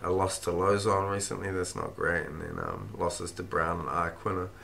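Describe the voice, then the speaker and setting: A man with a croaky, run-down voice, rambling casually through fight picks with plenty of swearing. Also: low-pitched, steady tone, normal speed, fairly bright tone. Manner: rambling, swears freely, thinks aloud with ums. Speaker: fight-pick vlogger. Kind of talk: casual fight predictions monologue.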